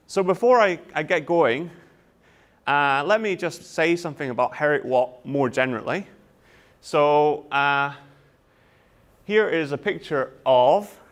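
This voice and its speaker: A man's voice: medium pitch, vocal fry, a bit bright. A Scottish man in his thirties, a university lecturer, giving a presentation to students in a lecture room, hesitant with frequent fillers and restarts. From Scotland.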